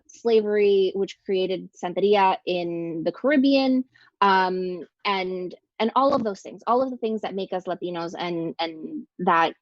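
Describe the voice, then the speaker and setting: A woman's voice: on the low side, dry recording, dull audio. A woman from Ecuador speaking as an author on a panel, chatty, with many fillers and restarts. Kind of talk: casual panel discussion.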